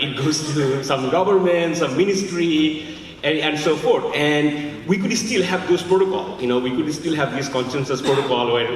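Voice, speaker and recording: A man from Bangladesh giving a halting conference talk with many fillers, as a fund founder. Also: mid-range voice, slight background noise. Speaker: fund founder, panel speaker. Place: large conference hall. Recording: echoey hall from far off.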